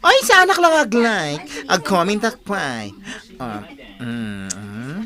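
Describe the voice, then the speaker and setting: An actress playing a nosy, flamboyant salon character in a radio comedy drama. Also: female voice, lowish voice, very animated, takes it slowly, pushed and bright, exaggerated voice.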